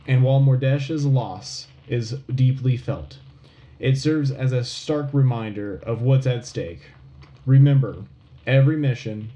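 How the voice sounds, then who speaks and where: A man's voice: mid-range voice, dark tone, dull audio, lightly acted. Man playing an earnest, rousing wartime radio anchor. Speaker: radio show host. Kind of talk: scripted propaganda-style war news broadcast.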